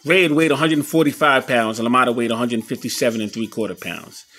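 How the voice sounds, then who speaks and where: A man giving a casual show-and-tell commentary as an enthusiastic collector, halting a little as he reads old clippings aloud. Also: medium pitch, steady tone, a bit bright, faint music behind. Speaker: boxing memorabilia collector showing his scrapbooks on video.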